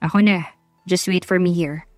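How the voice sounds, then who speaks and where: A woman's voice: on the low side, fairly even intonation, normal speed, no room sound, clean studio sound, faint music behind, lightly acted. A woman voicing a doting, teasing young husband in a romance audiobook, tender and mixing English phrases into Tagalog. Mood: playfully insistent.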